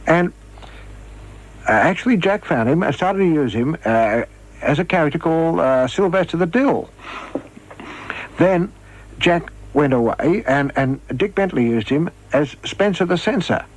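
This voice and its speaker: Older aussie guy reminiscing, raspy voice, lively up and down storytelling. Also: a little high, unhurried, poor audio. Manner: animated storyteller, acts out dialogue. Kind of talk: reminiscing anecdotes with acted quotes.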